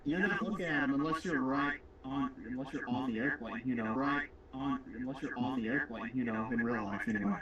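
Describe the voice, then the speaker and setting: A man's voice: mid-range voice, a little fast, hardly any pauses, far from the mic, dull audio, over a noisy crowd. A man on a livestream explaining details in a matter-of-fact way. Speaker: livestream co-host. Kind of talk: casual chat.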